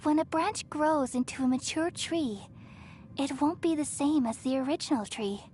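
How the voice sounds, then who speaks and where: Female actor as serene goddess, high-pitched, gentle, measured, reassuring, video game dialogue, soft background music. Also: natural voice. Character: serene, wise female deity, remnant consciousness of a dead ruler. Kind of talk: scripted video game voice acting.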